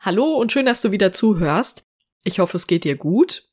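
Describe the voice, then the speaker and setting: A woman hosting her own podcast, talking to the listener in a relaxed, reassuring way while she has a cold and speaks a little through her nose. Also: mid-range voice, normal speed, over loud music. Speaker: podcast host. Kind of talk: solo podcast talk on social media marketing.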